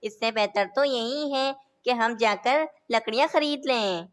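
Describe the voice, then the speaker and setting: A woman's voice: a little high, a little slow, natural voice. A woman voicing male cartoon birds in a children's story, speaking in a simple, declamatory way. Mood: earnest. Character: male cartoon birds: helpful parrot and lazy scheming crow. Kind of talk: Hindi animated children's story dialogue.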